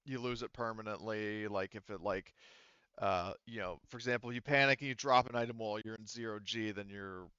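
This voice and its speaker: A man with a fairly low, clean voice runs a tabletop roleplaying game. He explains rules and scenes casually and haltingly. The sound is close and a little dull, with no room echo. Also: sharp tone, clear, resonant tone. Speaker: game master.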